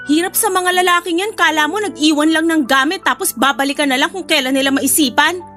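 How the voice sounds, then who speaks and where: A woman's voice: high voice, fairly quick, bright, forceful tone, soft background music. A woman playing a blunt, protective best friend with a sassy, scolding manner in an audio drama. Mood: indignant.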